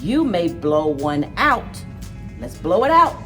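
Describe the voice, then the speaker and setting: A woman hosting a children's story time, speaking in a deliberate, expressive read-aloud manner for young listeners. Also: lowish voice, far from the mic, faint music behind. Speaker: library story time host.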